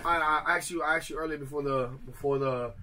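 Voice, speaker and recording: A young man from Harlem hosting an interview, excitable and repeating himself, laughing loudly. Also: fairly high pitch, a little fast, a little hiss or hum. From Harlem, New York. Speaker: interviewer host. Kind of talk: casual interview chat. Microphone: handheld mic.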